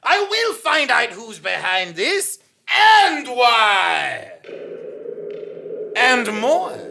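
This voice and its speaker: Bombastic, paranoid journalist hero, shouty and creaky. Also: medium pitch, huge pitch swings, drawn-out words, heavily acted. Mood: defiant, grandiose. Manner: melodramatic, declamatory. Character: bombastic, paranoid male investigative journalist swept into false realities. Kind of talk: theatrical live audio comedy drama.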